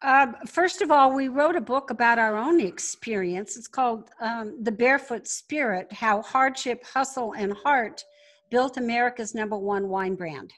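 Emphatic older woman, slightly high voice. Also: a little slow. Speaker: entrepreneur and podcast guest. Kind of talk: conversational interview.

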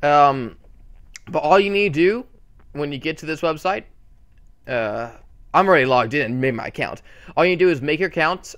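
A man with a bright, forceful voice talks casually through a channel update on a desktop mic. He rambles, with many fillers and restarts. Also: a little high, expressive, normal speed, pauses often. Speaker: channel host.

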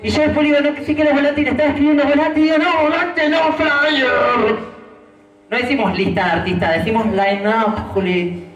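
A man's voice: very high voice, fairly even intonation, fairly quick, dull audio. A man with an Argentine accent hosting a variety show as a clown, with playful, campy banter with the crowd. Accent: Argentine Rioplatense Spanish. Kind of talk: stand-up banter with the audience. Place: outdoor patio stage at night. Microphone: handheld wireless mic, filmed from a distance. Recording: echoey, from a distant camera.

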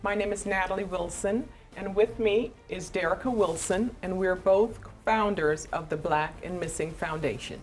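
A woman in her forties, from Trinidad and Tobago, speaks calmly to the camera as a missing persons advocate. Her voice is low-set and her delivery measured. Recorded with a lapel mic on a studio stage. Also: faint music behind. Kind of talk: direct-to-camera personal talk.

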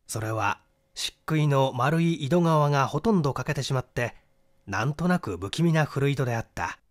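A man narrating a mystery novel in a theatrical, deliberate storytelling manner. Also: medium pitch, expressive. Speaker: audiobook narrator and stage actor.

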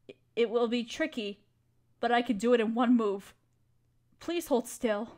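High-voiced, motherly spider queen played by a woman. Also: lightly acted. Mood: relieved, gentle. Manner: tender, pleading, careful phrasing. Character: gentle, anxious, motherly giant spider queen. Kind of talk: scripted audio roleplay addressed to listener.

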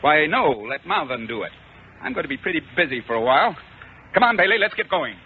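Rapid-fire space colonel, tinny old radio. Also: male voice, fairly high pitch, sing-song. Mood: urgent, commanding. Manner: clipped, commanding. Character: brisk, decisive space-guard intelligence colonel. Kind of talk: 1950s radio acting. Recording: tinny, noisy 1950s radio recording.

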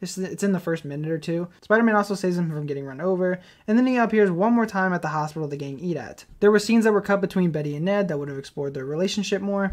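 Fast-talking fan video narrator, male. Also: a little high, a little mellow. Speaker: video essay host. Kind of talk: informal fan commentary, partly scripted.

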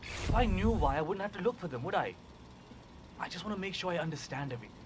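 A man's voice: sits high, animated, fast talker, background noise. An actor playing an earnest, businesslike male laundry worker in video game dialogue. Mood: fretful. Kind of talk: acted game background dialogue.

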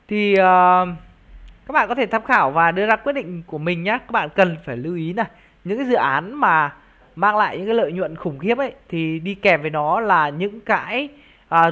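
An online video host with a mid-pitched voice gives an informal screen-share review. The delivery is explanatory and addressed straight to the viewers, step by step. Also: a bit bright. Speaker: YouTube crypto project reviewer and channel host.

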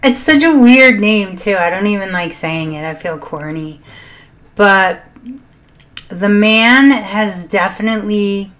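A middle-aged woman hosting a reaction video, chatting to camera in a low, quiet voice from a small home room. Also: a little hiss or hum. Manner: soft-spoken, laughs between thoughts. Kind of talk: casual chat to camera.

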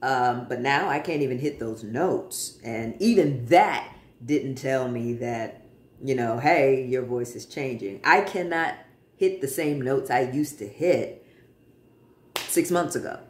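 Man in his forties, unhurried delivery, casual video update, lots of pauses and fillers, roomy home echo. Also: mid-range voice. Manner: relaxed and chatty, many pauses and fillers. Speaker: vlogger. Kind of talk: casual personal update to camera. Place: living room in front of a sofa, indoors. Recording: roomy, echoey home room.

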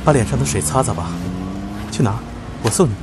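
Man acting a teasing young manager, lively intonation. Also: medium pitch, fast, music in the background, lightly acted. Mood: teasing, caring. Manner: teasing, smooth-talking. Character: teasing, sly young male office manager. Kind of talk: audio drama dialogue.

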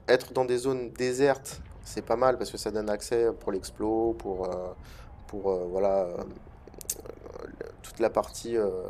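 A man with a head cold, giving relaxed, hesitant commentary over gameplay from a desk mic at home, with many fillers. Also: mid-range voice, slight background noise. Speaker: gaming video host.

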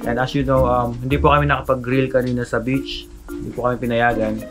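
A man's voice: mid-range voice, flat delivery, a little fast, music in the background. A young man hosting a casual vlog with friends, joking and hyping them up, filmed on an action camera. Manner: playful, joking, hypes up friends. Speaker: vlogger host. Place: beach, car and home patio.

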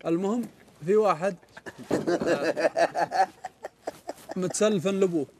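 A young man with an Omani Arabic accent, telling a story on a studio sofa and reciting verses in a slow chant. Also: high voice, noisy background. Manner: animated, gestures a lot, slows to chant verses. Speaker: guest poet. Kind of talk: casual storytelling and poetry recital. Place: indoor studio set on a sofa.

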